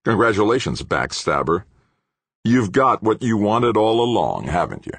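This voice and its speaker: A man's voice: deep voice, huge pitch swings, brisk, creaky, slightly rough, no room sound, pitched above the performer's own voice, full character voice. A man voicing a brash, spiteful engineer in an audiobook, whining, defiant and sneering. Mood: bitter, sneering. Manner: defiant, sneering, screechy when angry. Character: lanky, brash, spiteful male engineer, whining and blame-shifting.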